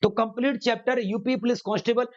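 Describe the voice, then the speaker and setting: A man's voice: extremely high pitch, fairly even intonation, fast talker, darkish tone. A man teaching an exam coaching class, emphatically repeating phrases and asking his own rhetorical questions. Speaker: maths teacher running online exam classes. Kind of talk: exam coaching lecture to students. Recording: dull, lo-fi sound.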